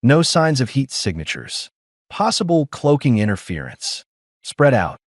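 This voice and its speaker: A man's voice: medium pitch, unhurried, no room sound, clean studio sound. A man voicing a cold, mechanical alien soldier. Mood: cold, commanding. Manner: mechanical, flat, clipped. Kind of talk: audiobook character voice.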